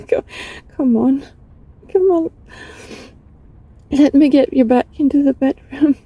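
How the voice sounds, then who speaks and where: A woman playing a lovesick, desperately devoted former bully from the future in an intimate audio roleplay, speaking haltingly and emotionally in a soft, husky voice. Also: sits high, fairly even intonation, dry recording, highs cut off. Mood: worried, tearful. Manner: halting, emotional, breathy sighs and stammers.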